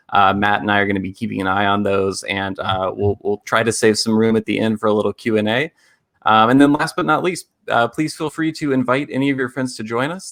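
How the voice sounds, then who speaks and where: A man's voice: on the low side, creaky, fairly bright tone, no room sound, studio quality. A man moderating an online event, giving casual instructions to viewers. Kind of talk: casual webinar housekeeping. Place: indoors, on a video call.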